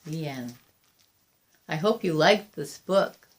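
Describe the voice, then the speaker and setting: Female storybook reader. Slow, deliberate delivery, animated intonation, drawn-out key words. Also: low voice. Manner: deliberate, expressive, with drawn-out emphasis and pauses. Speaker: volunteer picture book reader. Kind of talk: read-aloud storytelling of a children's picture book.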